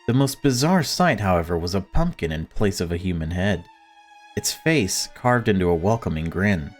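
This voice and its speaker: A man's voice: sits low, lively intonation, normal speed, faint music behind. A man reading a visual novel aloud as a let's-player, with informal, sweary asides. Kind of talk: narration and casual reactions to a visual novel.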